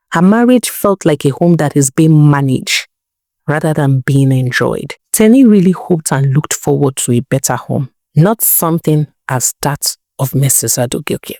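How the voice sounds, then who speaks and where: A woman narrating a moral folktale as a storyteller, in an expressive, emphatic manner with rhetorical questions. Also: deep voice, no echo, studio quality. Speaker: storyteller, channel host.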